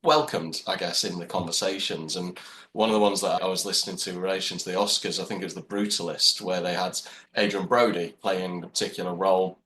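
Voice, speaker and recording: A man's voice: low voice, steady tone, a little fast, fairly bright tone, poor audio. A man co-hosting a podcast, talking casually and rambling with the odd laugh. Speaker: podcast co-host. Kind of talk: casual chat.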